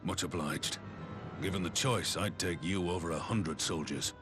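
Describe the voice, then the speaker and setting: Man acting a dignified game commander, low voice, measured, formal, deliberate, music behind. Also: natural voice. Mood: composed, resolute. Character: composed, dignified male military commander in fantasy war. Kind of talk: dramatic video game voice acting.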